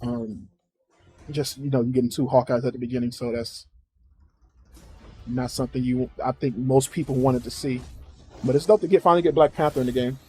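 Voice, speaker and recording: A man's voice: medium pitch, steady tone, brisk, pauses often, dark tone, low-quality recording. An American man chatting casually as the host of a reaction video. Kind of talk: casual chat, reacting to a game showcase.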